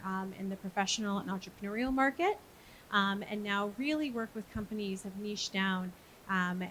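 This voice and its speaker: A woman's voice: mid-range voice, a little hiss or hum, low-quality recording. Chatty American woman moderating a panel. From Maine, United States. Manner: chatty, self-deprecating, many fillers. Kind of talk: live panel discussion. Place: conference stage.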